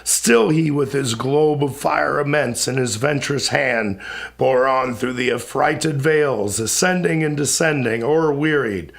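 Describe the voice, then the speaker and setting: A man giving a solemn, dramatic recitation of epic poetry in a grave, declamatory manner with deliberate pauses between phrases. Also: medium pitch, creaky.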